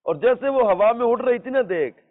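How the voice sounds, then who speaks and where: A man's voice: extremely high pitch, lively intonation. A man telling a religious story as a teacher, emphatically, repeating phrases and posing rhetorical questions. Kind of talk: religious storytelling lesson to listeners. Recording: muffled lo-fi audio in reverberant hall.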